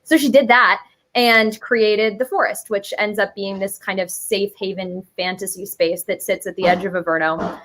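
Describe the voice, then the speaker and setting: A woman's voice: medium pitch, steady tone, moderate pace, fairly bright tone. A young adult woman chatting casually as an interview guest, explaining her creative work in a giggly, slangy, playful way.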